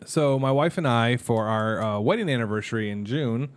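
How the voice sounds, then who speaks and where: Joking podcast co-host, casual banter. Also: male voice, mid-range voice, clean tone. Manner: jokey, animated storyteller who does quick impressions. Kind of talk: casual chat.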